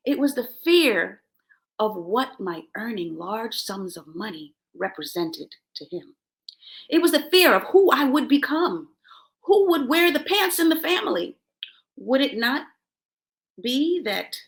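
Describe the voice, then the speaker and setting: High-pitched, creaky-voiced motivational woman. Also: a bit bright. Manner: emphatic, dramatic pauses, chuckles between phrases. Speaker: self-help show host. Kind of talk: reading aloud and commenting on a motivational book chapter.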